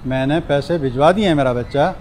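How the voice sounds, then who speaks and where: A man playing a humble, gentle watchman in a moral drama, with faint outdoor noise behind him. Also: mid-range voice, fast talker, close to an everyday voice. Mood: gentle, reassuring. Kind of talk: acted dialogue in a moral drama.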